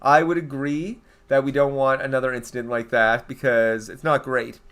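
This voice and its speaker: A man's voice: medium pitch, little pitch movement, moderate pace, a bit bright. A young man from eastern Canada with a Canadian accent, chatting casually and rambling on his game livestream as he answers his viewers. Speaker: game streamer.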